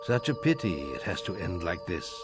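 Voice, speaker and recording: An actor playing a grave older guild master and disappointed mentor, solemn and earnest in a dramatic video game style. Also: male voice, low voice, big pitch swings, moderate pace, over music. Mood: sorrowful, resolute. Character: grave old male guildmaster, disappointed mentor pleading for peace.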